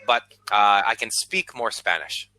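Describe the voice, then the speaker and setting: An American man, an online English tutor, chatting patiently on a video call and speaking slowly and clearly. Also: lowish voice, pushed and bright, rough recording. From United States. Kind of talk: casual conversation lesson.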